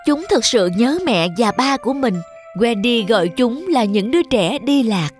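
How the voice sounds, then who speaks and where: Female children's fairy tale narrator, fairly high pitch, soft music underneath. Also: lively intonation, moderate pace. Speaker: storyteller.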